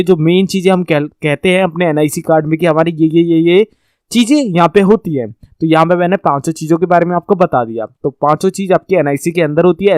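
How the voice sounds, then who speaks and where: A man's voice: sits high, a little mellow, dry recording. An Indian man giving an informal instructional lesson, explaining step by step, repeating points and checking in with learners. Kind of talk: explanatory tech lesson with slides, addressing learners.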